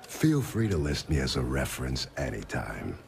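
An actor playing a menacing hulking gang boss who talks softly and threateningly, with a deep gravelly voice. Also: male voice, very animated, moderate pace, a little hiss or hum, heavily acted. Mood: mock friendly. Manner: soft, silky, threatening.

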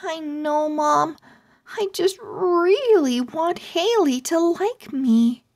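An eager young girl owl from a storybook, read by a woman, confides in an earnest, sensitive way. The voice is high, swings up and down, and moves very slowly. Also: animated, a little mellow, full character voice. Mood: downcast.

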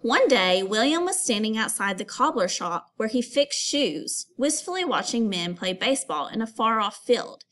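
Female primary teacher, voice a bit high, expressive and instructive read-aloud for kids. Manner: expressive storytelling, instructive, addresses pupils with questions. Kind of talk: read-aloud lesson for children.

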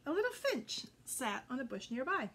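An older woman reading a children's picture book aloud, expressive and addressing young listeners directly. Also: high-pitched, moderate pace. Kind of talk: children's read-aloud storytelling. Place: indoors before a green backdrop. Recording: noticeable background noise.

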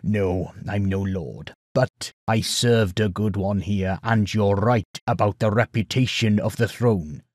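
A man's voice: fairly low pitch, slow pace, hardly any pauses, darkish tone, no echo, clean studio sound. A man voicing a huge, blunt, hearty pig farmer in a storybook, with rustic, emphatic phrasing. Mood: gruff, wry.

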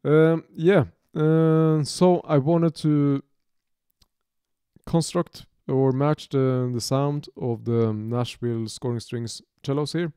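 Slow, mellow Norwegian man explaining. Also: mid-range voice, steady tone, clear, resonant tone, dry recording, clean studio sound. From Norway. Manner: halting, many restarts and fillers. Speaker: music producer demonstrating software. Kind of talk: unscripted tutorial. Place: small home music studio, indoors.

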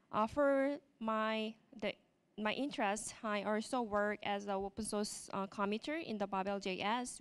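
A woman with a Korean accent gives a conference talk in English, her second language. Her voice is smooth and clear, at a middle pitch. She speaks in short halting phrases with frequent fillers and restarts, into a handheld mic on a large stage. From South Korea.

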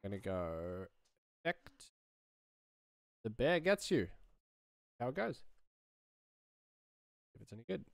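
A man's voice: on the low side, sing-song, slow pace, creaky, long pauses. A man in his thirties giving a casual demonstration as a sound designer, thinking aloud at a close mic. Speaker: sound designer, tutorial host.

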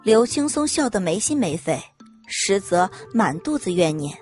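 A woman's voice: medium pitch, very animated, normal speed, a little mellow. A woman reading scripted audiobook narration as the storyteller. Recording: music under the narration.

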